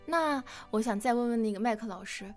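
Higher-voiced woman hosting a playful chat. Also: a little fast, soft background music. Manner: giggly, playful. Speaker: host. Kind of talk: casual interview chat.

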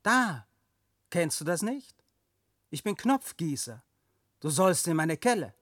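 Creepy soul collector in a radio play, sardonic, slow and deliberate, voice swoops around a lot. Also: male voice, a little high, big pitch swings, a bit bright, no room sound, studio quality, heavily acted. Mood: coolly menacing. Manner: deliberate, mock-friendly, sardonic. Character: uncanny male button moulder collecting souls, sly, unbending. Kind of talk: theatrical German radio drama acting in verse.

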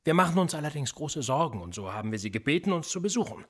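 An actor playing a cynical, sly police interrogator in a radio play, with an insinuating, coolly threatening manner. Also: male voice, on the high side, big pitch swings, fast talker, fairly bright tone, slightly rough, heavily acted. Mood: sardonic, probing. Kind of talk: naturalistic German radio play acting.

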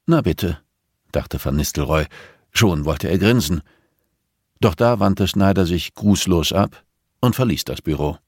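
A man's voice: sits low, measured pace, breathy edge, dry recording, clean studio sound. A man narrating scripted fiction as an audiobook reader.

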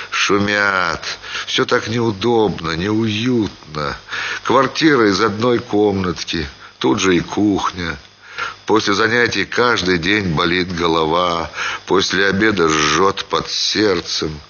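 Deep voiced guy narrating a classic story real slow, dramatic pauses, bit lo-fi. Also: pushed and bright. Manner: measured, with deliberate phrase-by-phrase pauses. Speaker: actor reading a literary story.

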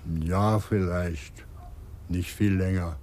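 Slow, laconic old drunkard. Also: male voice, low voice, fairly even intonation, darkish tone. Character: laconic, toothless old drunkard, a male neighbour. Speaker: actor. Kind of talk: German radio crime drama acting. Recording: background noise, outdoor scene ambience.

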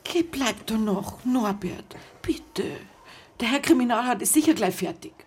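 An actress playing a sly, unrepentant widow under suspicion in a radio drama, speaking with a Bavarian accent in a dry, unflustered way. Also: female voice, lively intonation, a little slow, slight background noise. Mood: coaxing. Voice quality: creaky, low-pitched. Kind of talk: naturalistic radio drama dialogue.

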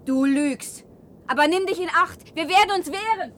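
An actress playing a suspicious, defiant young woman of a wasteland in a science fiction radio play, speaking haltingly. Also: extremely high pitch, a bit bright, slight background noise. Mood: alarmed, defiant.

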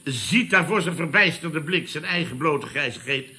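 An actor with a Netherlands Dutch accent playing a pompous middle-aged company boss in a radio comedy, blustering and repeating himself. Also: male voice, fairly high pitch, expressive, unhurried, noisy background. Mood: outraged. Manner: blustering, repetitive, pompous catchphrases. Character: blustering, self-important male boss of a building firm. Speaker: company boss and club chairman. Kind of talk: 1970s radio comedy acting.

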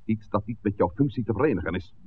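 An actor playing an authoritative village priest in a 1960s radio drama, speaking in a measured, formal, admonishing way. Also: male voice, lowish voice, animated, close to an everyday voice. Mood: stern. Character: authoritative village priest, composed, stern peacemaker. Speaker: pastor. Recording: dull, noisy 1960s radio recording.

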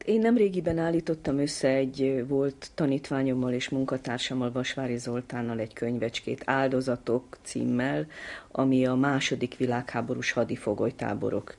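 A woman's voice: very deep voice, darkish tone. An older woman talks as an interviewed ethnographer. She recounts anecdotes and explains them in a conversational interview style.

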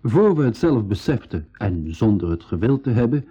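An actor with a Belgian Dutch accent narrating an adventurer's voyage in formal, measured, literary language for a radio play. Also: male voice, fairly low pitch, big pitch swings, dark tone, slightly rough, highs cut off. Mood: measured, serious. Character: male adventurer narrating his voyage log.

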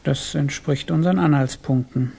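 An actor playing a formal, politely probing Tsarist police chief in a 1960s German radio play, with a gravelly, rasping voice. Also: male voice, fairly high pitch, moderate pace, warm tone. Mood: coolly polite.

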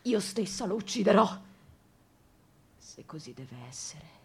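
A woman's voice: mid-range voice, sing-song, unhurried, creaky. A woman playing a grave, severe spinster, reciting solemnly and measuredly in literary radio theatre, at times near a whisper. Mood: solemn, hushed. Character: grave, severe spinster resolved on a mercy killing.

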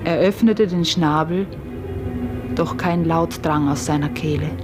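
An actress playing a farmer's wife who recounts an uncanny event with wonder, in measured, literary radio play narration. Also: female voice, deep voice, music in the background. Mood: searching, intent. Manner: measured, literary, deliberate delivery.

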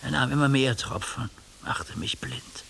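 An actor in a German radio play playing an anguished ageing judge who speaks for his neglected heart in a halting, hushed confession. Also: medium pitch, vocal fry, in a whisper. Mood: weary, yearning. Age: older. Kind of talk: German radio play acting, intimate monologue. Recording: hissy radio play recording.

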